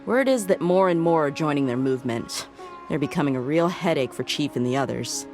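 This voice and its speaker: A young female bodyguard in a video game, her voice on the low side. She talks in a blunt, casual, no-nonsense way with a good heart underneath, over background music. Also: animated, a little fast, a little mellow. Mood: skeptical, warning. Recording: music behind the voice.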